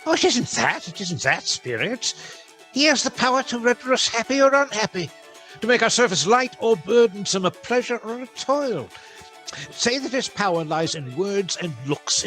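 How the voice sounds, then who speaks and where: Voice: medium pitch, animated, normal speed, fairly bright tone, soft background music, natural voice, rough recording. A performer playing a tormented elderly male miser in a theatrical radio drama. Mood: earnest.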